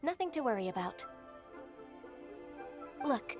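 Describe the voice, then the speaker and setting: An actress playing a gentle, dutiful young woman secretary in a video game, formal and earnest, polite but firm. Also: a little high, very animated, slow pace, music in the background.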